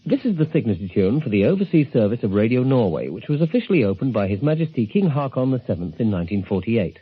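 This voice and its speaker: A man presenting a radio programme, giving measured, informative scripted narration. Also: medium pitch, sing-song, faint music behind. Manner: measured, informative announcer delivery. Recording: tinny narrow-band 1970s shortwave broadcast recording.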